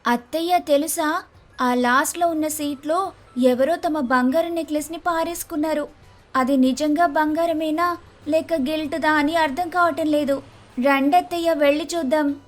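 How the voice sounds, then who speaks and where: A woman's voice: high voice, steady tone, normal speed, close to an everyday voice. A woman playing an eager, naive young daughter-in-law in a dramatised moral story, chatty, exclamatory and questioning. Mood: coaxing. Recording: music under the voice.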